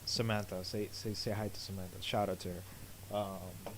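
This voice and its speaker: Man, podcast co-host, lowish pitch, halting with fillers, faint hiss behind. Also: little pitch movement. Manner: hesitant, stammering, frequent fillers. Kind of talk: casual chat.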